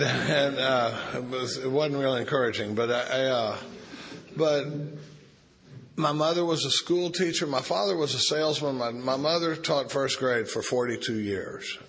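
A man's voice: mid-range voice, a bit bright. A man from Texas, telling his recovery story in a folksy, joking way with many restarts. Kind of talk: recovery meeting talk with jokes.

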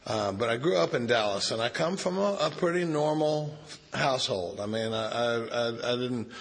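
A man's voice: medium pitch, lively intonation, normal speed, vocal fry. A man from Texas, telling his recovery story in a folksy, joking way with many restarts. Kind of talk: recovery meeting talk with jokes.

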